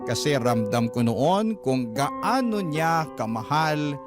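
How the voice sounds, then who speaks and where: A man reads a sentimental first-person story for a radio drama. His voice sits a little high for a man, and loud music plays behind it. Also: very animated, slow pace. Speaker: radio drama narrator reading a letter. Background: loud background music.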